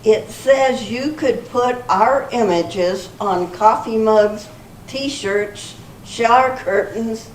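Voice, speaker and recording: Woman playing a slow, incredulous older lady, echoey. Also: on the high side. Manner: questioning, echoing words back. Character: incredulous older lady being courted as calendar model. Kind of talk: readers theater stage acting. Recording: echoey hall from far off, faint noise.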